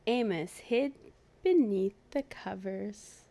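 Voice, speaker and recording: Slow, mellow woman reading a picture book. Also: mid-range voice. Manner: clear storytelling with animated asides to young listeners. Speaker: host of a children's read-aloud series. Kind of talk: expressive picture-book narration for young children.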